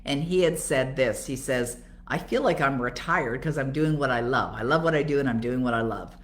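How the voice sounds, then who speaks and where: Low-pitched older Canadian woman. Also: moderate pace. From Toronto, Canada. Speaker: coach and author hosting a live Q&A. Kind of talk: conversational live Q&A coaching talk.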